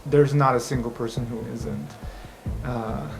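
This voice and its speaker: A man's voice: medium pitch, big pitch swings, unhurried, background noise. A man running a tabletop roleplaying game as game master, narrating scenes and answering players casually.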